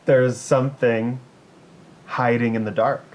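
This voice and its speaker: A man's voice: little pitch movement, a little slow, darkish tone. A man co-hosting a casual comedic podcast, thinking aloud in a rambling, wry way. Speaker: podcast co-host. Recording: noisy recording with low rumble.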